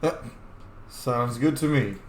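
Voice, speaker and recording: Male gamer, mid-pitched, casual commentary, reading dialogue choices, roomy echo, faint noise. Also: slow pace. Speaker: gamer playing through a role-playing game.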